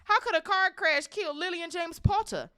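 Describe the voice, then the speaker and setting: A woman voicing a big, gruff, loyal and emotional male groundskeeper in a storybook, with a blustering, halting, folksy manner. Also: deep voice, animated, no room sound, clean studio sound. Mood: outraged.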